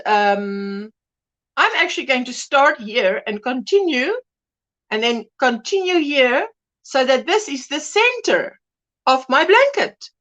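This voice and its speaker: A woman's voice: high voice, a bit bright. A woman hosting a casual crochet livestream, chatty and greeting viewers by name, with a mixed English and Afrikaans home language. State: drowsy from pain tablets. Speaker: livestream host.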